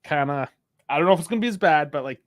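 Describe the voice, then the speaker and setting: A man with a fairly high voice co-hosting a football podcast, his pitch moving up and down expressively. He teases his co-host, laughs easily and slips into announcements. The recording is dry and close to the mic. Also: animated, rapid-fire, dry recording. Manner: teasing, laughs often, makes show announcements. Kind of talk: casual chat.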